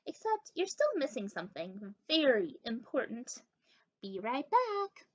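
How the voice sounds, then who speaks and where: A self-assured little storybook sheep, read aloud by a woman, always helpful and a touch bossy. The voice is high and swoops around as she hands out instructions, on a slightly dull recording. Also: very animated, measured pace, heavily acted. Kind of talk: children's picture book read-aloud.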